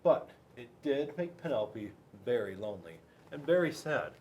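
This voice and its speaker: A man reading a storybook aloud to children in an expressive, chatty way, addressing his young listeners directly. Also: medium pitch, normal speed. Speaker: police school resource officer reading to children.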